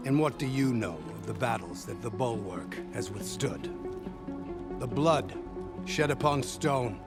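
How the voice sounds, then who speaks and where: A proud warrior commander for a video game. He speaks slowly and haughtily, stubborn and dismissive, declaiming every phrase over prominent music. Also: mid-range voice, expressive, vocal fry. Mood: defiant. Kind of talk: dramatic video game acting.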